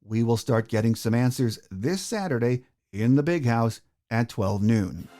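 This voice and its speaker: Mellow American male podcast host. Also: medium pitch, expressive, unhurried. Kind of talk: scripted podcast introduction and interview.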